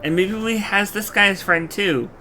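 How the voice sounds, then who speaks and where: A man's voice: sits high, a little slow, pushed and bright, slight background noise, low-quality recording. A man giving casual, playful playthrough commentary as a game streamer, sometimes in cutesy phrasing. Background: game music.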